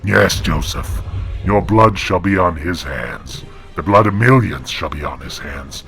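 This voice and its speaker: A wrathful angel speaks in a very deep, inhuman metallic growl. The voice is gravelly and commanding, proclaiming prophecy in solemn, archaic words. It is a creepypasta-style radio drama with faint music underneath. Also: male voice, animated, unhurried, fairly bright tone, heavily acted. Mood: grave, ominous. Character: wrathful, commanding male angel possessing a man's body.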